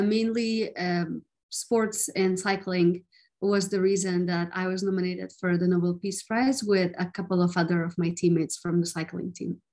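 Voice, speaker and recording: Woman, 20s, from Afghanistan, interview guest, earnest, mid-pitched voice. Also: a little mellow. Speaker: guest, student and activist. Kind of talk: interview answers.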